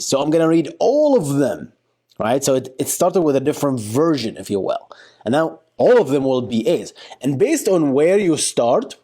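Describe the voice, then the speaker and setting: Animated man teaching, pitch swinging freely. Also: husky. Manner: animated, playful, colloquial, thinks aloud with exclamations like boom. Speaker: software engineering instructor. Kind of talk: informal screen-demo tutorial, talking while typing.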